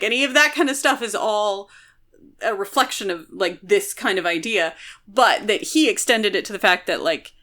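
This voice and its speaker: A woman's voice: mid-range voice, pushed and bright. A woman co-hosting a podcast, explaining things in casual book discussion, conversationally and peppered with "like". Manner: explanatory, conversational, frequent filler "like". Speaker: podcast co-host.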